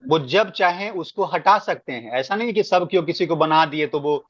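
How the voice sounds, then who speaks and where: Guy on a conference call explaining rules, emphatic, dry muffled sound, highish voice. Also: normal speed, dry recording. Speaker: meeting speaker giving guidance. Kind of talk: explanatory work meeting talk. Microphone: online conference call.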